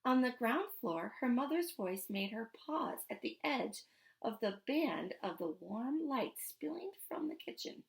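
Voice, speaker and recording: Female storybook reader for young listeners. Higher register, highly animated melody, deliberate teacherly emphasis. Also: creaky. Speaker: teacher reading a children's book aloud. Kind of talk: expressive storybook read-aloud.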